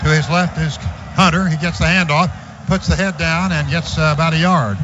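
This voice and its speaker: A man calling a football game live on the radio as play-by-play announcer, calm between plays and rising sharply when the action breaks. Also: a little fast. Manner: rises in pitch and volume on big plays, calm between. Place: stadium radio booth. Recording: muffled lo-fi radio broadcast with background noise.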